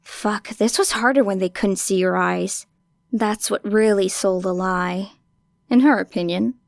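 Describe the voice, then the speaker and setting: A woman narrates fiction expressively, as for an audiobook. Her delivery is slow and deliberate, with a lot of vocal fry, in a very dry recording. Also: medium pitch, no room sound. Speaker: audiobook reader.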